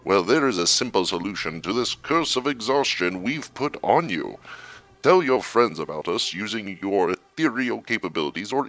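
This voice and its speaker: A man playing a mock-sincere pitchman in a parody radio promo, using a salesman's rhetorical patter. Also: creaky, a bit bright, exaggerated voice. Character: mock-sincere male pitchman who casts curses on listeners. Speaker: sponsor message announcer.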